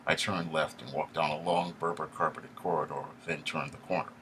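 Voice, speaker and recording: A man reading a first-person story aloud in a steady, wry storytelling manner. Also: very deep voice, a little fast, a little hiss or hum. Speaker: audiobook narrator. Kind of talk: first-person audiobook narration.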